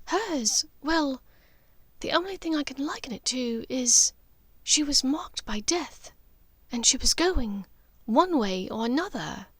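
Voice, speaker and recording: A woman's voice: sits high, animated, slow, fairly bright tone, breathy edge, no echo, pitched above the performer's own voice, full character voice. A woman voicing a refined, wistful middle-aged witch in an audiobook, speaking precisely and expressively. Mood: solemn, hushed. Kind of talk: audiobook character dialogue.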